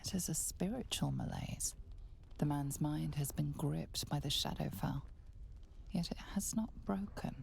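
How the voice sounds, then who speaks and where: A woman's voice: low-pitched, unhurried, vocal fry, darkish tone, whispered, heavily acted. A woman playing a grave, learned healer in a video game, speaking solemnly and formally. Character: grave, learned healer diagnosing a cursed mind. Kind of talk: video game character acting.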